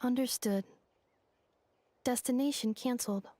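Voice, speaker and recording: A woman voicing a polite, logical robot girl in a video game, speaking in measured, formal, matter-of-fact statements. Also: a little high, warm tone. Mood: calm, factual.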